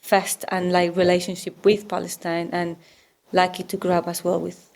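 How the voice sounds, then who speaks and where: A woman from southern Spain with a Spanish accent, a guest talking in an animated, chatty way with many fillers. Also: lowish voice, normal speed, gravelly. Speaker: guest, embroidery workshop co-founder. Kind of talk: casual interview chat.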